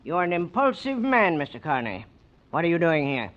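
An actor playing a menacing, coolly superior crime boss, formal and condescending, in 1940s radio style. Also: male voice, a little high, big pitch swings, moderate pace, a bit bright. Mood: cold menace. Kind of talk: 1940s radio villain acting. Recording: muffled 1940s radio recording.

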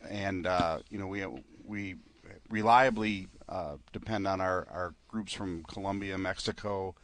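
A man's voice: low-pitched, fairly even intonation, a little slow, a bit bright. A man who directs a tournament, answering interview questions in a plain, friendly way with frequent fillers.

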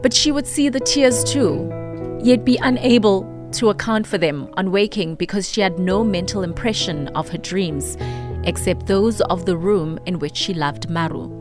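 A woman's voice: medium pitch, normal speed, over music. A woman co-hosting a morning radio show, chatting casually and then reading a book passage aloud in a radio studio.

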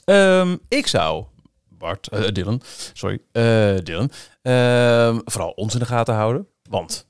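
A man's voice: mid-range voice, expressive, a little slow, no room sound, clean studio sound. A man co-hosting a podcast in casual chat, often hesitating as he searches for words. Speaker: podcast co-host.

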